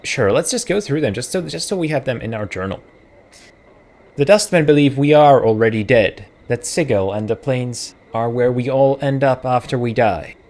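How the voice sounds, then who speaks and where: A man's voice: mid-range voice, normal speed, a little mellow. Czech-accented man musing over a game. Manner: musing, thinks aloud with self-corrections. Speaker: let's play host. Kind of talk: casual gameplay commentary, reading game text aloud.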